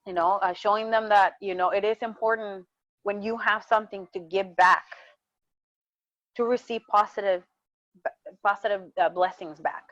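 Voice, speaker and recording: A woman's voice: mid-range voice, steady tone, moderate pace, long pauses, fairly bright tone, dry recording. A woman from Mexico with a Mexican accent, talking passionately in a casual interview and often repeating words as she searches for them. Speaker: wrestling champion guest. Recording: dull muffled dry sound.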